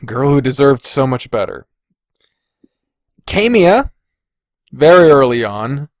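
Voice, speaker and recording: A man's voice: medium pitch, very animated, slow, dry recording, clean studio sound. A man hosting a podcast alone, talking casually and thinking aloud with informal, loose phrasing. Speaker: podcast host. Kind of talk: casual solo ranking commentary.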